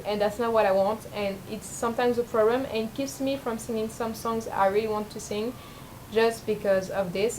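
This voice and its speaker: A young woman with a low speaking voice, rambling and self-deprecating in a casual confessional vlog, full of fillers and restarts. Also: darkish tone, a little hiss or hum, rough recording. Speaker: vlogger.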